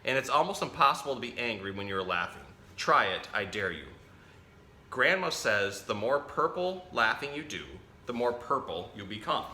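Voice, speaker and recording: A man hosting a children's storytime, reading a picture book aloud in a playful, expressive way and talking directly to young listeners. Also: medium pitch. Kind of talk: animated read-aloud of a children's picture book with chatty asides to a pet parrot. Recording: roomy echo, faint background noise.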